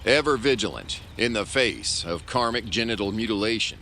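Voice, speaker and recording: Man doing a low, melodramatic pulp narrator. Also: animated, normal speed. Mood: ominous, wry. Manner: melodramatic, florid. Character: pulpy, wry, overwrought noir narrator. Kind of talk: scripted comic pulp narration. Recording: clear car and road noise.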